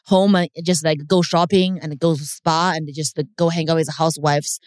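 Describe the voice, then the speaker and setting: A woman's voice: low voice, flat delivery, moderate pace, clear, resonant tone, dry recording, studio quality. A woman in her thirties from China with a Chinese accent, a comedian talking frankly on a podcast with many hesitations. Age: 30s. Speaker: comedian guest. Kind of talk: casual podcast chat.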